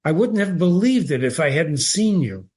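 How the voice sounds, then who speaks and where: A man's voice: fairly high pitch, sing-song, normal speed, darkish tone, voice pushed up. A man reading the lines of a cold, stern woman who speaks in clipped words.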